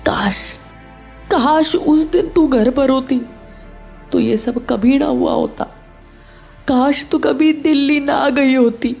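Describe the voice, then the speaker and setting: A woman's voice: sits high, vocal fry, darkish tone, faint music behind, full character voice. A woman playing a guilt-ridden older caretaker, mournful and pleading in a horror audio drama. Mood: tearful remorse. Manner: mournful, regretful, halting.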